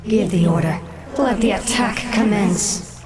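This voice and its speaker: A woman's voice: medium pitch, unhurried, lightly acted. A woman playing a cold, ruthless undead queen in a fantasy game, commanding and imperious. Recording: loud music under the voice.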